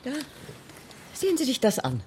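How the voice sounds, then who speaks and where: An actress playing a lonely, anxious, defensive woman in a radio crime drama, speaking haltingly yet insistently. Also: fairly high pitch, wildly swooping pitch, dark tone, a little hiss or hum. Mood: agitated, defensive.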